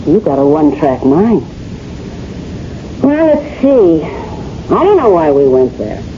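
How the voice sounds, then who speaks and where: A woman's voice: deep voice, sing-song, slow pace, dark tone, full character voice. An actor playing a brassy, flirtatious wife fed up with her husband, in slangy, wisecracking 1970s radio drama style, played by a woman. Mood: dismissive, chatty. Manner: slangy, wisecracking, ungrammatical delivery. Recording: muffled, hissy old radio broadcast recording.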